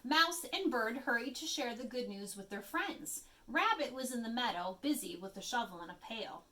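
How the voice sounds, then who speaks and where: A woman's voice: a little high, animated, normal speed, bright, forceful tone, slight background noise. A woman children's librarian reading a picture book aloud, with friendly, chatty asides to young listeners. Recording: voice a bit far from the mic.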